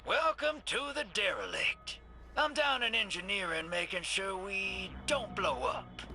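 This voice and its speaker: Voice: medium pitch, big pitch swings, a little slow, sharp tone, soft background music. An actor playing a breezy, cocky rogue in a video game, with a folksy, slangy, playful way of talking. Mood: easygoing briefing. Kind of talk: casual video game character acting.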